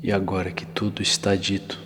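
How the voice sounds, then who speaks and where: A man with a Brazilian accent narrating an ASMR guided visualization in a soothing, deliberate manner with long pauses. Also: deep voice, completely flat delivery. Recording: long reverb, faint hiss and ambience.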